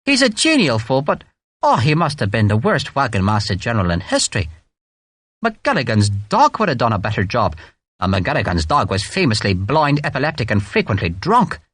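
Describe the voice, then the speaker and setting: Guy putting on a sly irish army major, sing-song sarcastic, pitch all over the place. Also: wildly swooping pitch, normal speed, fairly bright tone, breathy edge, dry recording, exaggerated voice. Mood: amused. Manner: teasing, sweetly sarcastic.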